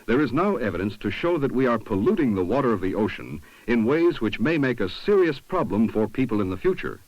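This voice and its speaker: A man reading scripted 1970s documentary narration in a measured, instructive way, on an old film soundtrack. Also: medium pitch, sing-song, no room sound, lo-fi sound.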